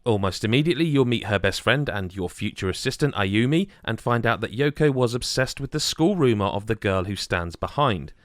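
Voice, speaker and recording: A man's voice: fairly low pitch, lively intonation, a little fast, hardly any pauses, dry recording, clean studio sound. A man reading a scripted video game review as the reviewer.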